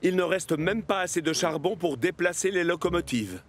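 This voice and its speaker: A man's voice: high-pitched, lively intonation, moderate pace. A man narrating a children's cartoon in French, explaining the story as a scripted storyteller. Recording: music behind the voice.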